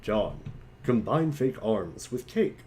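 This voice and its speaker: A man's voice: on the low side, sing-song, slow, darkish tone, slight background noise. A man reading short scripted command prompts in an amateur dramatic reading. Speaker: reader of commands.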